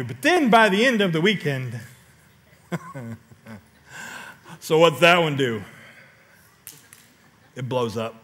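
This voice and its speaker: Middle-aged man, pastor on a big church stage, high bright voice, animated sermon with loud bursts, headset mic. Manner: animated storytelling with sudden loud outbursts and audience questions.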